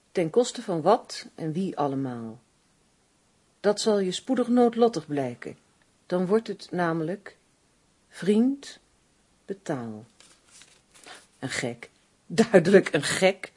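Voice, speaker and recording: Husky, sceptical woman, animated and sharp. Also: lowish voice, exaggerated voice. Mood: scornful. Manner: dismissive, bossy, brisk. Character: sharp, sceptical woman co-writing crime novels with her partner. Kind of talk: radio drama acting.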